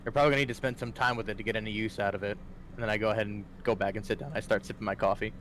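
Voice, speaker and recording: A man's voice: fairly low pitch, steady tone, rapid-fire, fairly bright tone, a little hiss or hum. A man playing a tabletop game, describing his character's actions matter-of-factly. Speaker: player. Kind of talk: casual chat during a tabletop game.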